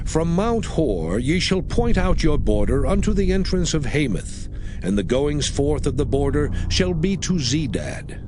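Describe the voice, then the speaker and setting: Man as solemn biblical leader, very expressive pitch. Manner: declamatory, measured proclamation. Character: solemn male biblical leader proclaiming divine law. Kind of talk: dramatized scripture reading.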